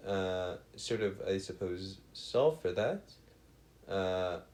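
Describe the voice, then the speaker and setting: Male tutor, lowish voice, very unhurried, hesitant and self-correcting, formal turns of phrase. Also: darkish tone. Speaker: maths tutor. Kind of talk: maths lesson, working through equations aloud.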